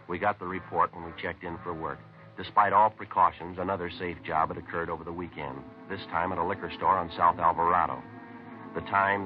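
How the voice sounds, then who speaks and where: Actor as a clipped, deep-voiced deadpan police detective. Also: male voice, lightly acted. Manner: deadpan, clipped, matter-of-fact. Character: businesslike, methodical male police detective narrating his case. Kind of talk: 1950s radio crime drama, terse narration. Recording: tinny narrow-band vintage radio recording.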